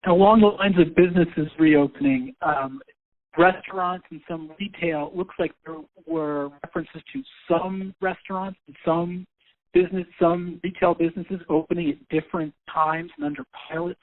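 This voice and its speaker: A man's voice: high voice, steady tone, normal speed. A man working as a reporter, putting questions to officials on a press conference call. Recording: thin, tinny phone line.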